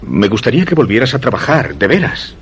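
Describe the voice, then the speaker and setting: Deep-voiced, brooding suspicious husband. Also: male voice, sing-song, husky, exaggerated voice. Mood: pleading. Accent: Castilian Spanish. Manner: measured, literary. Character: brooding, suspicious husband narrating a dark suspense tale. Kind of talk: dramatic radio narration with acted dialogue.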